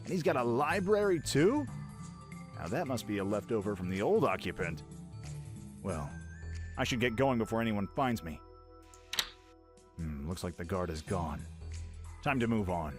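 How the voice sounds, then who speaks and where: An actor playing a brash, swaggering young prince in a fan radio drama, talking over music. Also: male voice, fairly low pitch, lively intonation, normal speed, pauses often, natural voice. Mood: curious.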